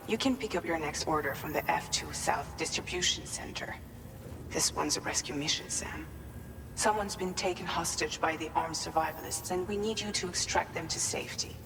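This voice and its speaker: A woman's voice: fairly low pitch, lightly acted. An actress playing a composed, encouraging female operator giving scripted mission briefings in a video game. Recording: echoing hall reverb, clear background noise.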